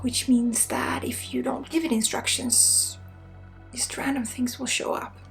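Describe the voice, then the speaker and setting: A woman with a Swedish accent guides an ASMR energy healing at a very unhurried pace. Her soft voice sits fairly high and often drops to a whisper with a crackly edge. Faint music plays behind her. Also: fairly even intonation, gravelly. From Sweden. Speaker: spiritual healer and ASMR host.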